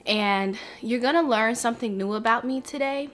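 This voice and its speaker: A young woman talking to camera on her vlog, earnest and reflective as she shares something personal. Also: on the high side. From United States. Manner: earnest, reflective, slow and heartfelt. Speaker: vlogger.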